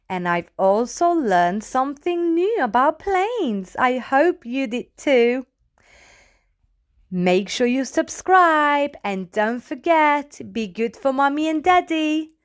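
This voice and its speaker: A woman's voice: sits high, sing-song, slow. A woman reading a picture book aloud to young children, in a playful manner as the host. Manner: addresses small children, playful sound effects.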